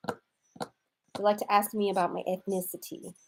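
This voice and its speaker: A woman's voice: medium pitch, flat delivery, unhurried, a little hiss or hum, poor audio. An American woman from Houston chatting casually as a live-stream host, reading out and answering viewer comments in a wry, irreverent, slangy way. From United States, raised in Houston, Texas.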